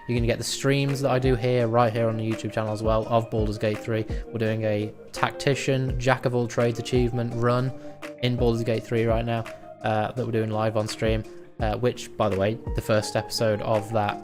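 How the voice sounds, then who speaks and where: Hoarse male gaming creator, chatty explainer, mid-pitched, music bed behind. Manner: chatty, explains step by step with many fillers. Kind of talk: casual game build guide. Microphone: desk microphone. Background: background music.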